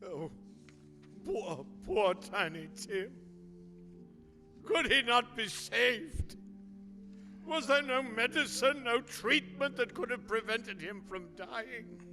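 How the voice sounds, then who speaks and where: A man playing an anguished, frightened old man who pleads haltingly with a spirit in a radio play. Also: extremely high pitch, animated, full character voice. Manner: pleading, halting, full of questions. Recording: long hall reverb, music behind.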